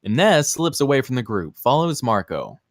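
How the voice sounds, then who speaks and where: A man's voice: mid-range voice, sing-song, normal speed, slight background noise. A man reading scripted screenplay narration in a theatrical, clipped present-tense style. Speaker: stage-direction reader at a table read.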